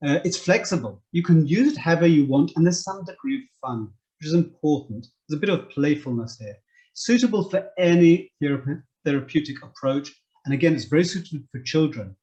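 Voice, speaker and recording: Male presenter, slightly high voice, explanatory software walkthrough delivered step by step, lo-fi muffled sound. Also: expressive, a little fast. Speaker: presenter demonstrating online therapy card software. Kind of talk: informal screen-share product demonstration. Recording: dull, lo-fi microphone sound.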